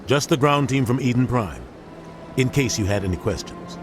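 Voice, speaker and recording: An actor voicing a steady, loyal ship captain. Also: male voice, medium pitch, moderate pace, lightly acted. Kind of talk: video game voice acting. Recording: game audio over music.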